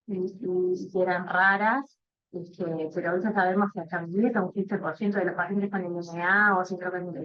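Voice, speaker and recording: A woman from Uruguay, a hematologist facilitating a webinar, introducing the speaker and pausing often on "este". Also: fairly low pitch, muffled recording. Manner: hesitant, frequent "este" fillers and repeats. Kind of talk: formal introduction.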